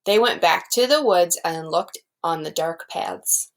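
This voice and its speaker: A woman's voice: fairly low pitch, lively intonation, unhurried. A woman teacher explaining things simply to young children and reading a storybook aloud.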